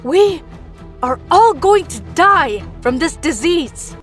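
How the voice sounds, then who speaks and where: A woman's voice: very high-pitched, big pitch swings, takes it slowly, faint music behind, heavily acted. A woman acting as a scared, bitter helpline caller in an audio drama, telling things in clipped, sardonic fragments. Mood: agitated, angry.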